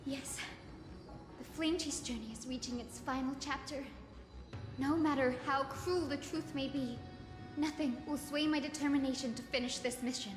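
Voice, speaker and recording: A woman playing a gentle, resolute young priestess in a fantasy game, speaking with solemn earnestness. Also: high-pitched, fairly even intonation, normal speed, natural voice. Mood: quiet resolve. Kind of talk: dramatic fantasy game voice acting. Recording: echoey reverb, music behind.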